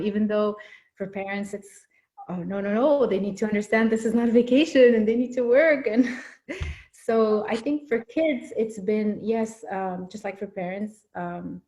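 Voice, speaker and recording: A woman's voice: medium pitch, a little mellow. A woman from Morocco working as a parent coach, speaking thoughtfully in a casual interview with frequent fillers and repeated words.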